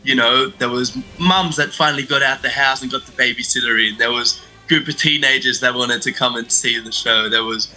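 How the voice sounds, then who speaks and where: A man's voice: mid-range voice, steady tone, brisk, few pauses, bright, forceful tone, poor audio. A young Australian man in his twenties, a stage performer, answering interview questions over a video call in a friendly, loose way with many fillers. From Sydney, Australia. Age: 20s. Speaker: stage actor and dancer, guest.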